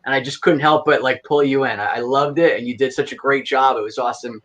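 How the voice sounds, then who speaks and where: A man's voice: mid-range voice, fairly even intonation, quick pace, a bit bright. A man speaking as an interview guest, explaining creative work in a casual, earnest and appreciative way. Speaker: podcast guest, audio drama creator.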